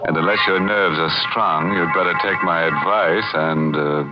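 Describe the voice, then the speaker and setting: An actor playing a sardonic, ghoulish host of murder mysteries on a 1940s radio show, with a theatrical, drawn-out delivery. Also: male voice, deep voice, fairly even intonation, natural voice. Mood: ghoulish relish. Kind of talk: 1940s radio horror hosting. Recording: muffled old radio broadcast, music behind.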